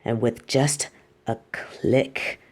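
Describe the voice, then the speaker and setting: A woman's voice: extremely low pitch, fairly even intonation, drawn-out words, heavy background noise, full character voice. A woman playing a proud, exacting yet fond superhero costume designer in a scripted roleplay, speaking in a grandiose, dramatic way. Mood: proud, boastful. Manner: grandiose, dramatic, addresses listener as darling. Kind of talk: scripted roleplay audio monologue.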